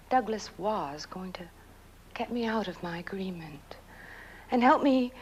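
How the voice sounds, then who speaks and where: An actress playing a fearful, trapped young wife in horror-comedy film dialogue, breathy and melodramatic, often sinking toward a whisper. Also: female voice, mid-range voice, a little hiss or hum, low-quality recording. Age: young adult. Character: fearful, trapped young woman married to a corpse. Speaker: film actor.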